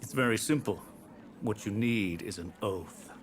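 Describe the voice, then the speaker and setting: A man playing a composed, shrewd Greek warrior king in a game, talking in a calm and calculating way. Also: fairly low pitch, unhurried. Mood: calm, scheming. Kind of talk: dramatic game cutscene acting. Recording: noisy ambience behind the voice.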